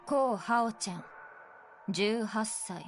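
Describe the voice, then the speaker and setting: A woman's voice: medium pitch, expressive, moderate pace, lightly acted. A woman playing a nonchalant, teasing female kidnapper in a visual novel, with a languid manner. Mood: lazy, nonchalant. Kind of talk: Japanese visual novel voice acting. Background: music.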